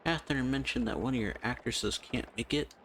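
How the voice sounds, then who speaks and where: A man's voice: medium pitch, brisk, background noise. A man hosting a casual let's-play session, reading out dialogue choices and murmuring along. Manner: reads dialogue options aloud, lots of murmured "hmm"s. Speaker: gaming channel host. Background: game audio and music.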